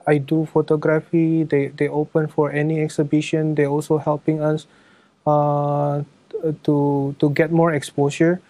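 A man talks about his photography in an interview, stumbling and repeating words as he searches for English phrasing. His voice is fairly high but very flat and dark. Faint music sits behind him. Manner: halting non-native English with repeated words and fillers. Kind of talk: interview answers.

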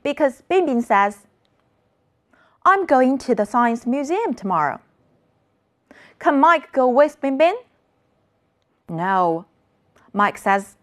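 A woman's voice: on the high side, very animated, takes it slowly, long pauses. A woman teaching children an English lesson, modeling words for the class to repeat.

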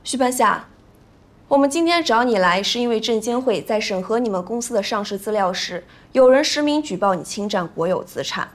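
An actress playing a stern female investigator who reads out findings in a crisp, formal official manner. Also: fairly high pitch, expressive, brisk.

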